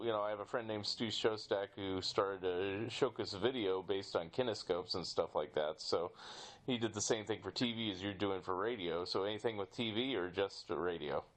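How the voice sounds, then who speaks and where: Male podcast host, middle-aged, lowish voice, casual and rambling interview style.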